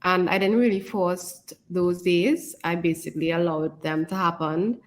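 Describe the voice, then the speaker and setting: A woman's voice: on the low side, fairly even intonation, normal speed. A woman author chatting casually about writing in an encouraging way, often repeating phrases for emphasis. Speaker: author, co-presenter.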